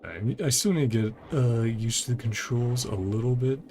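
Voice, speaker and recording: A man's voice: mid-range voice, normal speed, mellow, slight background noise. A man streaming a video game, giving casual, rambling, laid-back commentary as he plays.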